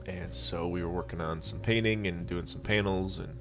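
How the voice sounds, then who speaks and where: A man with a deep voice gives a casual voice-over for a hands-on prop-making tutorial. He explains things in an unscripted, halting manner, as a hobby host would. Instrumental music plays behind his voice. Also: steady tone.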